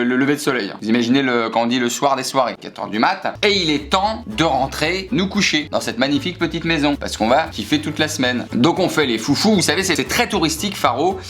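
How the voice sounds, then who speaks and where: Animated comic French storyteller man. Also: medium pitch. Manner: animated, lots of sound effects and asides. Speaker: comedian storyteller. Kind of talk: comic anecdote to camera.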